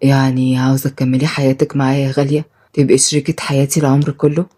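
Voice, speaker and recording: A woman voicing a gruff, protective older man who speaks low and earnestly to his young wife. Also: level tone, racing, mellow. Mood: tender.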